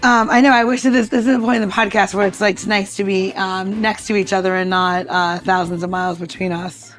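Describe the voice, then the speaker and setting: A woman's voice: medium pitch, steady tone, brisk, a bit bright, faint music behind. A woman co-hosting a podcast as its bartender, chatting casually in an informal, jokey way. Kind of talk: casual chat.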